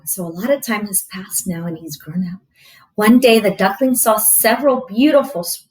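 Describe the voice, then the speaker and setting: A woman reading a storybook aloud to children as a teacher, expressive and explaining things simply. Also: medium pitch, poor audio. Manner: expressive, explains to young listeners.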